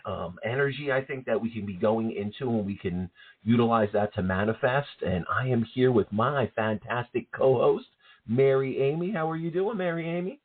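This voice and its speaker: A man with an East Coast American accent, co-hosting a spiritual talk radio show in a calm, reflective way. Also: medium pitch, normal speed, slightly rough. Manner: calm, reflective, repeats words when thinking. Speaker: co-host, psychic medium. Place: at home. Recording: tinny phone-line sound.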